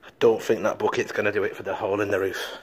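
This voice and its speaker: A man's voice: lowish voice, little pitch movement, racing, breathy edge. A man giving informal walk-around commentary to viewers as an exploration vlogger, recorded outdoors on a handheld device. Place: outdoors and in derelict roofless buildings.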